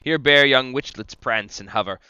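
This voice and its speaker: A man's voice: medium pitch, moderate pace, clear, resonant tone, no echo. A man playing a sly, boisterous devil, a worldly tempter, in a declamatory, teasing reading of verse drama. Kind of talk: theatrical amateur verse reading of a stage drama. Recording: muffled, loud crowd din behind.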